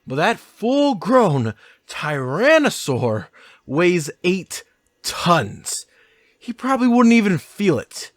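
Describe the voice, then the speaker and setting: A man playing a grim, practical game warden in an audio drama, blunt and deliberate. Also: sits high, sing-song, no echo, studio quality, exaggerated voice. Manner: measured, deliberate.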